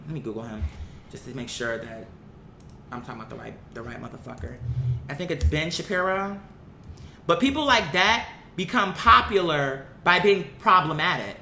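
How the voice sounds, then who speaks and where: Blunt sweary host ranting casually, sharp bright voice, medium pitch, roomy echo w a bit of rumble. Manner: blunt, profane, emphatic repetition. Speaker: online commentary host. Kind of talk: opinionated casual rant to viewers.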